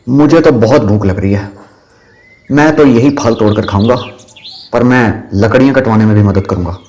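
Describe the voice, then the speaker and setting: A man's voice: lowish voice, moderate pace, darkish tone, slight background noise, close to an everyday voice. A man playing a hungry, easygoing young male student in an amateur audio drama. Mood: hungry, cheerful.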